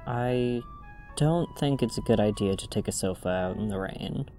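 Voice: medium pitch, normal speed, mellow, natural voice. An actor playing a fretful, sensible young friend in an audio drama, earnest and mildly exasperated. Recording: music under the voice.

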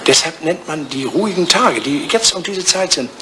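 An old aristocrat with a high voice in a vintage radio play, recorded in a roomy space with noise behind him. He is theatrical and teasing, a wistful lord used to giving orders. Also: expressive, normal speed, sharp tone. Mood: wistful, storytelling. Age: older. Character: aging English lord, whimsical, nostalgic, imperious with his butler. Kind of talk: 1980s German radio play acting. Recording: roomy sound with clear background noise.

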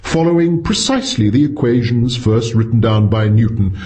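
A man reads science fiction as an audiobook narrator. His pitch is medium and moves in lively, wide swings through the scripted prose. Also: moderate pace.

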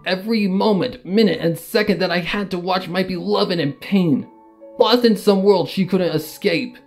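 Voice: mid-range voice, normal speed, close to an everyday voice. An actor playing a grieving, bitter cartoon pony mare, telling her tragedy in a dramatic first-person fan fiction reading. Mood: anguished. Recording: music under the voice.

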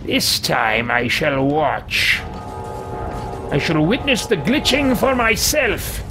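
A man's voice: fairly high pitch, lively intonation, slow, vocal fry, music in the background, heavily acted. A man voicing a haughty, easily frustrated old fantasy adventurer in a video game, with pompous, archaic, theatrical speech. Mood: grandly smug. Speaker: gaming streamer roleplaying a character. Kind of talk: in-character roleplay game commentary. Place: small room with packed shelves, indoors.

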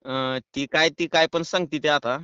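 A muffled, dry phone recording of a man with a rural western Maharashtra accent. His voice is fairly high and clear, and he talks casually with halting, hesitant phrasing. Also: fairly even intonation, sharp tone, no room sound. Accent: rural western Maharashtra Marathi. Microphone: phone call.

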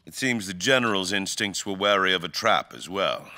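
Man acting a grave, deep-voiced fantasy king. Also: lively intonation, bright, forceful tone, full character voice. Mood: stern, somber. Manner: theatrical, deliberate, formal diction. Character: grave, imperious fantasy king, eloquent and brooding. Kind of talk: dramatic fantasy audio drama acting.

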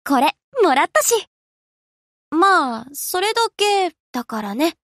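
A young anime warship girl with a high, very lively voice. She talks in casual, girlish slang at an unhurried pace. She comes across as easygoing yet dutiful, like a game heroine. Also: dry recording, studio quality, heavily acted. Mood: breezy, casual. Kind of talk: Japanese anime game character voice lines.

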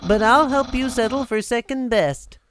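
A woman voicing a pompous, earnest male skeleton from a video game, theatrical and halting. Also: on the high side, a little hiss or hum, lightly acted. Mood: earnest, boastful. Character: pompous, dramatic, earnest male skeleton, lovable self-declared great friend. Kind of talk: video game character voice-over.

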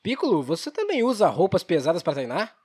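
A man's voice: sits high, wildly swooping pitch, brisk, a little mellow, slight background noise. A man with a Brazilian accent playing a cheerful, dim-witted young hero in a comedic anime parody dub. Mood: naive, upbeat. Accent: Brazilian Portuguese. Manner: naive, guileless.

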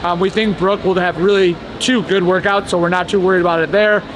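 A man in his thirties, a coach, explaining plans in a steady casual way with some fillers. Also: high voice, a little fast. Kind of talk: casual behind-the-scenes update. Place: large indoor competition venue. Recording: noisy arena background.

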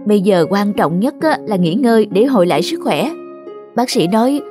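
A woman's voice: medium pitch, lively intonation, moderate pace, lightly acted. A woman voicing a caring, frank cartoon best friend. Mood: concerned. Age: young adult. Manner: advising, concerned. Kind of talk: animated cartoon dialogue. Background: music.

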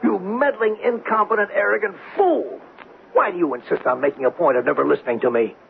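A man's voice: high-pitched, fairly quick, gravelly. An actor playing a furious police superior berating his man in a 1970s radio drama. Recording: muffled, very noisy old radio broadcast.

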